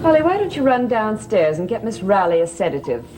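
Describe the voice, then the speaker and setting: An actress playing a sly, mocking society gossip in a gothic soap opera, arch, needling and condescending. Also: female voice, mid-range voice, very animated, brisk, background noise, close to an everyday voice. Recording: music behind the dialogue.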